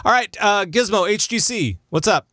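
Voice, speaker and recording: A man hosting a casual gaming podcast, chatting in an animated, jokey way and often interrupting himself. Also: high-pitched, moderate pace, no echo, studio quality. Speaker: podcast host.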